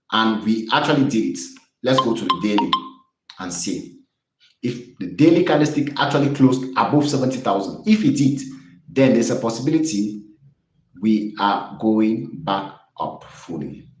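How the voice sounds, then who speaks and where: A man's voice: mid-range voice, roomy sound, poor audio. A young man from Cameroon streaming live, chatting with viewers and explaining charts in an upbeat, repetitive way. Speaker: trading streamer.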